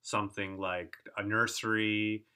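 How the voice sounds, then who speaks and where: A man's voice: fairly low pitch, measured pace, clean tone. A man, telling stories in a casual podcast chat and often checking in with "right?". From Korea. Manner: relaxed, chatty, frequent restarts and "right?" checks. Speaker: podcast co-host. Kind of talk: casual conversational storytelling.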